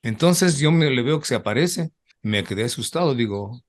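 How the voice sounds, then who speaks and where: A man's voice: mid-range voice, lively intonation, moderate pace. Older man, former radio announcer. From Ecuador. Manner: rambling storyteller, trails off with repeated asides. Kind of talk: reminiscing in an interview.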